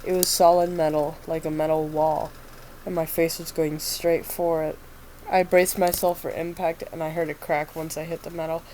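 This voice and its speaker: A woman's voice: deep voice, level tone, moderate pace, mellow, a little hiss or hum, natural voice, poor audio. A woman with a stuffy nose reading the part of a weary male doctor telling his story in first person. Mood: wry.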